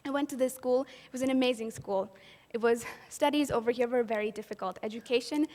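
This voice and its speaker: An earnest woman from Pakistan tells her own story to an audience at an event and poses rhetorical questions along the way. Her voice sits somewhat high. A long reverb from the hall trails after her words. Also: brisk, mellow. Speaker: event speaker. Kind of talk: personal storytelling talk.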